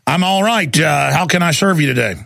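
Gravelly, forceful American talk radio host. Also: male voice, fairly high pitch, animated, fairly quick, no room sound, clean studio sound. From United States. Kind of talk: live call-in political talk radio.

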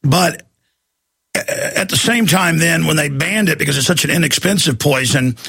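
A radio talk show host, who is losing his voice, talking in an emphatic, ranting way that climbs high when he gets worked up. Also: normal speed, gravelly, sharp tone, breathy edge. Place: radio studio. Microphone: studio microphone.

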